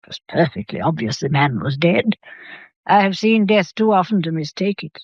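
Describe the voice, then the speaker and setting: An actress playing an imperious old Victorian matriarch with an English accent, speaking formally and deliberately in a radio drama. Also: female voice, lowish voice, lively intonation, husky, no room sound, lo-fi sound. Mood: composed, haughty. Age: older.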